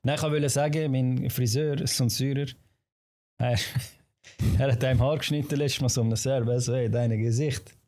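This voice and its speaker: A man's voice: medium pitch, fairly even intonation, moderate pace, warm tone. A man with a Swiss German accent hosting a casual interview, chatting in a slangy, informal and joking way. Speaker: talk show host.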